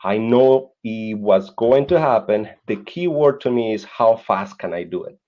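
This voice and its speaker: A man's voice: on the low side, a little slow. A man from Colombia with a Colombian Spanish accent, in his thirties, earnestly telling his life story as a podcast guest and often repeating words while he searches for them. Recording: dull lo-fi sound.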